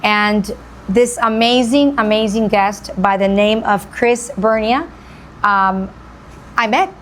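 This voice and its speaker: A woman's voice: mid-range voice, slow, a little hiss or hum. A woman hosting a radio interview, gushing and repeating phrases for emphasis. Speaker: radio show host. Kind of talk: enthusiastic interview.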